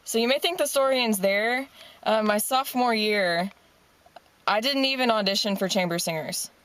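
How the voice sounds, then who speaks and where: A woman's voice: a little high, moderate pace, a bit bright, poor audio. A young adult woman telling a personal story in an earnest, casual, encouraging way, like a motivational talk. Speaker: singer and motivational speaker.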